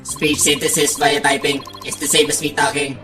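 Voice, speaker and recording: A woman's voice: low voice, flat delivery, normal speed, echoey room, recorded from a distance, close to an everyday voice. A woman voicing a terse male bomb expert with a robotic, clipped, unemotional delivery in a game fandub. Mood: flat, matter-of-fact. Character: terse male bomb expert talking through speech synthesizer. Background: prominent game music.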